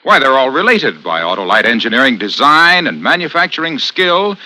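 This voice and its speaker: A man's voice: sing-song, a little fast, sharp tone, low-quality recording. A man with an American accent delivering emphatic, polished sponsor announcements in 1950s radio style. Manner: emphatic, polished sales pitch.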